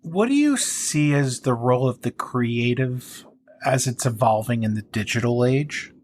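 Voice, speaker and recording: A man interviewing a guest conversationally, in a measured, deliberate way. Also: medium pitch, lively intonation, darkish tone. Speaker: interviewer, playwright.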